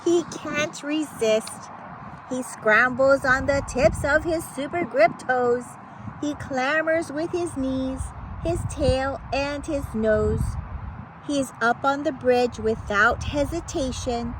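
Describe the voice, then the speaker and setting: A woman reading a picture book aloud to children in a dramatic, playful storytelling manner with exclamations and suspenseful pauses. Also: high voice, background noise.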